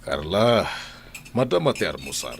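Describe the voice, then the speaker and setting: A man's voice: low-pitched, very animated, measured pace, gravelly, slight background noise, exaggerated voice. An actor playing a businesslike man of the house in a radio drama, with an Ilocano accent. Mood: calm, instructing.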